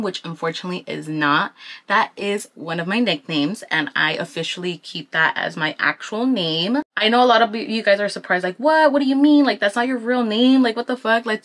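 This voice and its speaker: A woman in her twenties with a Southern California accent, chatting informally and with plenty of slang as she vlogs. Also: mid-range voice. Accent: Southern California American. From Anaheim, California, United States. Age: 20s. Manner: informal, slangy, animated when quoting people. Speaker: vlogger. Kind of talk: casual chatty get-ready-with-me talk.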